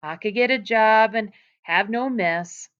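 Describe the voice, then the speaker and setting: A woman reading aloud, voicing a weary, terse man who speaks in a flat, wooden rural drawl. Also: fairly high pitch, measured pace, clear, resonant tone, dull audio, pitched above the performer's own voice, natural voice.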